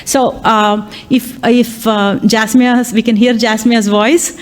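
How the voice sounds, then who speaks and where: A woman's voice: fairly high pitch, steady tone, takes it slowly. A woman whose first language is Tamil, an AI lecturer and workshop chair, speaking live at a conference with many ums, uhs and restarts. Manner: hesitant, many fillers and restarts. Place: conference hall.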